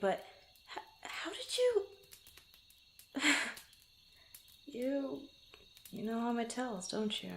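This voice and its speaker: Casting: actress as young female rogue adventurer, unhurried, slightly creaky voice, teasing yet easily flustered, intimate fantasy audio roleplay. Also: mid-range voice. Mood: flustered evasive. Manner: hesitant, stammering, playful banter. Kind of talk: intimate ASMR roleplay, one-sided conversation.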